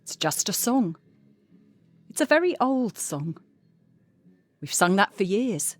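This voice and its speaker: A woman's voice: medium pitch, sing-song, slow pace, no room sound. A woman playing a guarded but defiant French cabaret singer in an audio drama. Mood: protesting.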